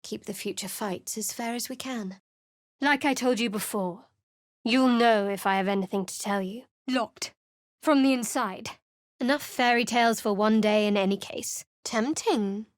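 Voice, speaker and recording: Woman doing a snarky cleric girl from a game, bit high voiced, dry studio sound. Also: moderate pace, dry recording, lightly acted. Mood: sardonic. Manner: dry, cutting sarcasm. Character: guarded sardonic young woman cleric. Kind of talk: studio video game voice acting. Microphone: close studio mic.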